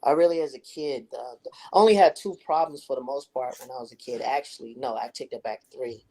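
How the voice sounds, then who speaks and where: A man with a high-toned voice, calling in to a casual talk show from a quiet hospital room and telling stories with lively quoted voices. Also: fairly quick, poor audio. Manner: animated storyteller, acts out quotes in a high voice. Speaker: guest caller. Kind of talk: casual chat.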